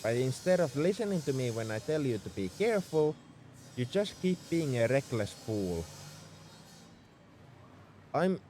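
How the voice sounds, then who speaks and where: A man's voice: mid-range voice, sing-song, a little slow, pauses often, mellow, a little hiss or hum. A man playing a young tsundere spaceship mech engineer in an audio roleplay, defensive about his feelings as he speaks straight to the listener. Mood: upset, caring, then defensive, choked up. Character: gruff-caring tsundere male mech engineer, young adult. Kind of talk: ASMR roleplay acting, addressed to the listener.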